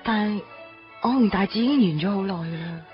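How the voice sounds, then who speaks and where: A woman playing a soft-spoken, apologetic young woman. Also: medium pitch, animated, fast, natural voice. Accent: Hong Kong Cantonese. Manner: quiet and hesitant. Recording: dull lo-fi radio recording with music.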